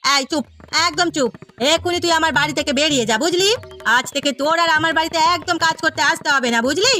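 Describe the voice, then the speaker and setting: Cartoon housewife, voiced by a woman: middle-aged, stern, sharp-tongued, forceful high voice. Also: fast talker, faint music behind. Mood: furious. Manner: sharp, imperious. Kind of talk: Bengali cartoon story voice acting.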